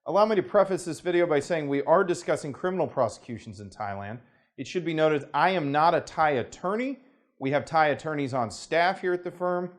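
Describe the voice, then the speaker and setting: An American man, an attorney, explaining a legal process in a formal, measured way, with careful legal phrasing, hedges and the odd restart. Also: fairly high pitch, expressive. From United States. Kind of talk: unscripted talking-head explanation.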